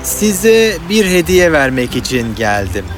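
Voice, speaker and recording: A man's voice: a little high, big pitch swings, unhurried. A man playing a polite, romantic young hero in a fairy-tale cartoon, earnest and pleading. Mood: obliging. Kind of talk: animated fairy tale acting.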